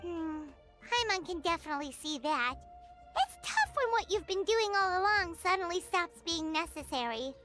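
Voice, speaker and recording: Small floating game sidekick, squeaky-high, excitable, refers to herself by name. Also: female voice, normal speed, over loud music. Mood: sympathetic. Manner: chirpy, eager, speaks of herself in third person. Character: tiny chirpy female floating companion creature.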